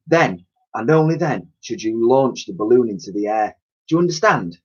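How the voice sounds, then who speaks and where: Storybook role: bold, practical little girl, read by a man, brisk and instructing, husky tone, slightly muffled recording. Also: mid-range voice, expressive, a little mellow. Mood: firm. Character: plucky young orphan girl, bold and practical.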